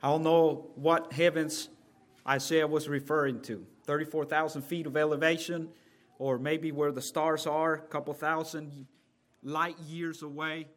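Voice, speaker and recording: A man from El Salvador, preaching a sermon as a guest preacher and building from quiet words to loud emphasis. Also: on the high side, little pitch movement, unhurried.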